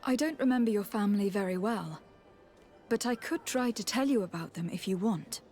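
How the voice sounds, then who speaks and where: A woman's voice: mid-range voice, moderate pace, darkish tone, faint music behind, close to an everyday voice. An actress playing a courteous, caring noblewoman emissary in a video game, polite and composed in formal phrasing. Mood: gentle, curious. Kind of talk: video game dialogue acting.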